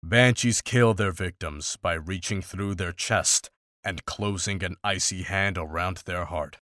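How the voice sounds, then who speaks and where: Low-voiced grim monster hunter. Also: male voice, measured pace, sharp tone, dry recording, clean studio sound. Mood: grim, explaining. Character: grim, dry-witted male monster hunter. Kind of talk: fantasy visual novel voice acting.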